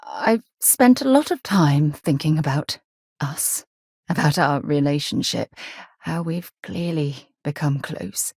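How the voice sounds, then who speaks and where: An actress playing a principled, warm, battle-tested space explorer and former commander in a video game, earnest and articulate. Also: female voice, low-pitched, husky, no room sound, clean studio sound, full character voice. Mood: tender. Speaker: game companion character. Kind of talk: video game voice acting, dramatic dialogue.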